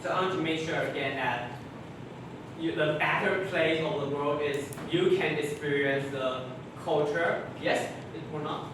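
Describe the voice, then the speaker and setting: A fairly high-voiced man, his Chinese, answering debate questions in halting English with many restarts. He sounds distant from the mic in a very echoey room. Steady background noise sits under his voice. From Taiwan. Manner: halting, searching for words, frequent restarts. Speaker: student debater. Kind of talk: debate crossfire.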